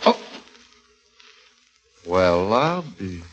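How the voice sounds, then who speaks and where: An actor playing a hearty, nosy male state trooper who speaks in brisk, clipped sentences in a 1940s radio drama. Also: low voice, sing-song, a bit bright, breathy edge, heavily acted. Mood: curious, surprised. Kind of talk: 1940s radio horror acting. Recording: dull vintage radio recording.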